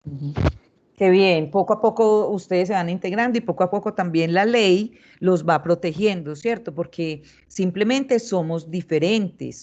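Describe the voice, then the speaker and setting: A woman hosts a radio interview. Her voice is somewhat low and mellow, and her delivery is thoughtful. The recording sounds a little muffled. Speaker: interviewer on a school radio station.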